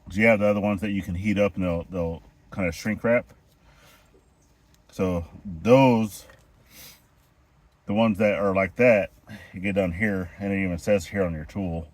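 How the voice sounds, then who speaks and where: Deep-voiced man, stuffy from a cold. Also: measured pace, pauses often. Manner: explains step by step while working, relaxed. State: congested from a chest cold, sniffling. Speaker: homestead vlogger. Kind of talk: hands-on DIY how-to. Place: outdoors at a well house, kneeling on rocks.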